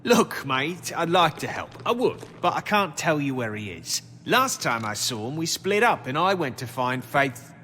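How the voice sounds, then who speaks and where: An actor playing a cocky, sarcastic thug under interrogation in a video game, with a mouthy, taunting manner. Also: male voice, on the high side, very animated, normal speed, sharp tone, slight background noise. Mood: defensive. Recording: long reverb, music underneath.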